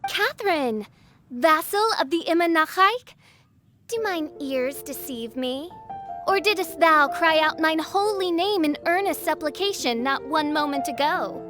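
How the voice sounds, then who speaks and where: A woman's voice: sits high, lively intonation, a little slow, over music. A woman voicing a theatrical, haughty young girl who poses as a princess in a video game, speaking in grandiose archaic phrases. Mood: imperious. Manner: grandiose, pompous, archaic mock-Shakespearean diction.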